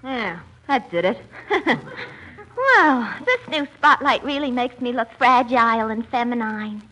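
A woman's voice: a little high, lively intonation, measured pace, sharp tone, low-quality recording. Wisecracking woman on old-time radio. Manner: wisecracking, breaks into mock-French singing. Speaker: comic singer. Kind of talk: 1940s radio comedy monologue. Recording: old radio recording with music.

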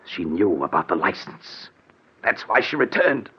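Husky haunted young bridegroom, old radio. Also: male voice, deep voice, very animated, heavily acted. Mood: brooding dread. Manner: halting, overwrought, melodramatic. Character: haunted, frightened young bridegroom losing his sanity. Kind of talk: 1940s radio drama acting. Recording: dull, lo-fi old radio recording.

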